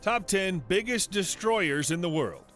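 A man narrating a scripted documentary countdown in an even, flat read. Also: high-pitched, unhurried, soft background music. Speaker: video narrator.

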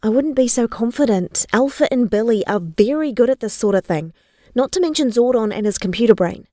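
A woman's voice: a little high, animated, fairly quick, warm tone, no room sound, studio quality, natural voice. A woman playing a reluctant, guilt-ridden young informant, subdued and hesitant, in an audio drama. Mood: subdued, reporting.

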